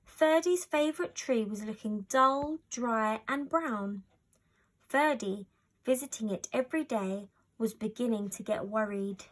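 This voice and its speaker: High-voiced woman reading a storybook. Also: measured pace, slight background noise, rough recording. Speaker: storybook reader. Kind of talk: expressive scripted children's story narration.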